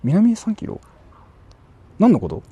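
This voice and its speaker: Hushed, husky-voiced man. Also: sits high, wildly swooping pitch, moderate pace, dull audio. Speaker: vlogger streaming while exploring. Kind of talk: casual livestream chat, answering viewers. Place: outdoors walking through an empty housing estate.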